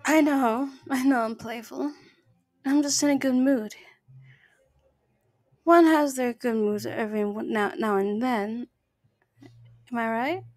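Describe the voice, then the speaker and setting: A woman's voice: fairly high pitch, fairly even intonation, slow, long pauses, darkish tone, no room sound, studio quality. A woman voicing a playful, doting goddess sorceress in an ASMR roleplay, cooing and teasing affectionately.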